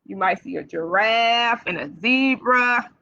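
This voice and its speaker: A woman hosting storytime for young children, reading aloud in an animated, sing-song way and putting questions straight to the kids. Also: fairly high pitch, measured pace, vocal fry. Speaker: library storytime host for children. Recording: dull, lo-fi livestream sound.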